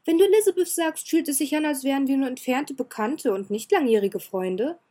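A woman voicing a cheerful, eager young noble girl who talks in a bright, pleading sing-song. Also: high voice, brisk, voice pushed up, close to an everyday voice.

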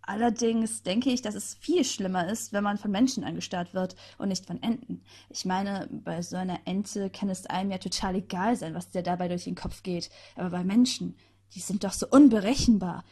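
A woman's voice: mid-range voice, moderate pace, dark tone, husky. A woman narrating a first-person story aloud with chatty, sarcastic asides.

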